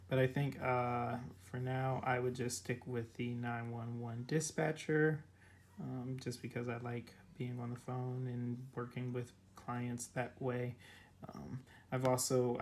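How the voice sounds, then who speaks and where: Raspy young vlogger, flat delivery. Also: medium pitch. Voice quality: raspy, cracky. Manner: rambling, filler-heavy, with many ums and likes. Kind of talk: casual screen walkthrough.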